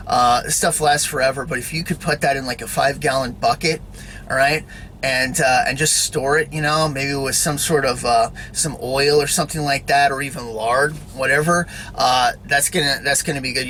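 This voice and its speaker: Male preacher, loose conversational sermon, bright voice, faint background hum. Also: mid-range voice, rough recording. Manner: rambling, repeats "all right?" and "you know". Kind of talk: casual spoken sermon to camera.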